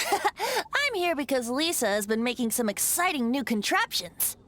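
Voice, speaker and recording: A man's voice: very high voice, big pitch swings, unhurried, a bit bright, slight background noise, full character voice. A voice actor playing a playful young male bard in a video game, airy and teasing with frequent laughs. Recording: game audio with music behind.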